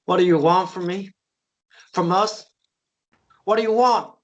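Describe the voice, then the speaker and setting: An actor in a table read playing an anguished, tender man, speaking in halting, repeated pleas. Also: high voice, lo-fi sound. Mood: desperate, defiant. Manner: halting, repeating himself, pleading. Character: anguished, tender man pleading for his beloved. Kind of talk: emotional table read drama acting.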